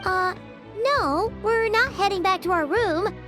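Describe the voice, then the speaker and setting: A woman voicing a chirpy, excitable little fairy girl companion in a video game, bubbly and theatrical. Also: extremely high pitch, unhurried, loud music behind the voice. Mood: scheming, playful. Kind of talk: animated video game dialogue.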